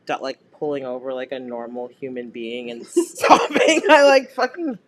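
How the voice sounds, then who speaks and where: A man chatting casually with friends, telling stories in an animated way with slang and swearing. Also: mid-range voice, normal speed. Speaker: podcast co-host.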